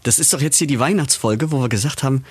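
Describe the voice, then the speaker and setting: Male co-host, mid-pitched and husky, jokey, laughs a lot, on a video call. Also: fast, no room sound. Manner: joking, laughs often. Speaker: podcast co-host. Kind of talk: casual chat.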